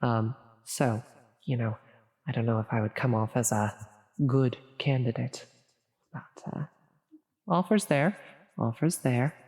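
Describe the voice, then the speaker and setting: A woman's voice: a bass voice, unhurried, pauses often, darkish tone. An awkward female demon acted by a woman speaks in long, echoing reverb. She is officious yet reluctantly helpful, stammering and correcting herself like a flustered middle manager in an intimate sleep roleplay. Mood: reassuring, helpful. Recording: long reverberant hall echo.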